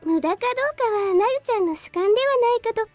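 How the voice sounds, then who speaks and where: A woman's voice: very high-pitched, lively intonation, very fast, dry recording. A woman voicing a cute, emotional young schoolgirl in a Japanese visual novel game. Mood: pouting. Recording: game audio with music behind.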